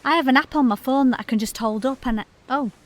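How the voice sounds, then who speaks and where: A woman's voice: high-pitched, quick pace, natural voice. An actress playing an eager, open-hearted woman in an audio drama, speaking earnestly and expressively. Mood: curious, impressed. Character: Molly, eager open-hearted woman, game for folk magic. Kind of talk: audio drama monologue and dialogue. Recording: music under the voice.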